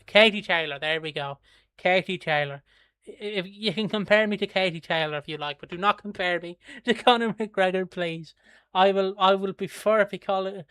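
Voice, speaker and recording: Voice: medium pitch, fairly bright tone, smooth, dry recording. An Irish host from Kildare chatting casually on a livestream, rambling, swearing and laughing while reading viewer comments. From Ireland. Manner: plugs merch in a breezy, offhand way.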